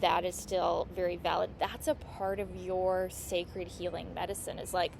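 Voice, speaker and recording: A woman astrologer and healing coach with vocal fry, giving a casual, earnest and reassuring astrology lesson, with small clicking noises from her mouth. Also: fairly low pitch, flat delivery, moderate pace, a little mellow, a little hiss or hum. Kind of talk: casual astrology teaching monologue.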